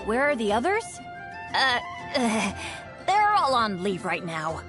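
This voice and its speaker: A woman plays an unlucky but upbeat teenage boy adventurer from a fantasy video game. The voice is high and boyish, eager and earnest, bouncing up and down a lot. Light music runs underneath. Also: sing-song, slow. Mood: sheepish. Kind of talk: video game character voice lines. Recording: studio voice over background music.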